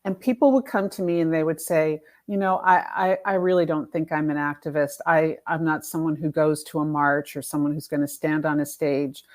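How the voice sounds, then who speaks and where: A woman's voice: low voice, steady tone, brisk, few pauses. A woman explaining her work as an author and coach in a conversational interview, emphatic and fond of acting out example quotes. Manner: emphatic, illustrates points with imagined quotes. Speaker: guest, author and activism coach.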